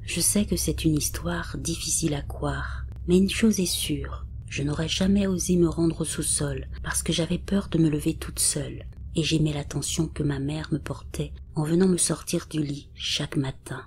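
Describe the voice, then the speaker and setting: A woman reading scripted paranormal stories as a narrator, in a soft, half-whispered delivery. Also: low voice, normal speed, few pauses, a little hiss or hum. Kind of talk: scripted narration read aloud. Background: faint background music.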